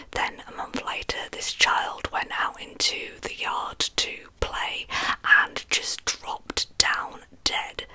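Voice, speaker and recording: A woman with a lisp whispers softly and breathily as an ASMR host, telling true crime stories in an informal, chatty way. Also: low-quality recording.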